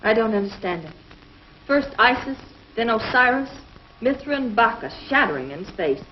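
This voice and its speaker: A woman playing an earnest, precise space crew scientist in 1950s television adventure style, with crisp delivery. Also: a little high, lively intonation, moderate pace, close to an everyday voice. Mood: serious, puzzled. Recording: dull, noisy 1950s TV film soundtrack.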